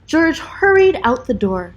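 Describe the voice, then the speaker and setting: A woman's voice: high-pitched, very animated, a little slow, muffled recording. A woman teacher reading a children's storybook aloud expressively, with encouraging little asides to young listeners.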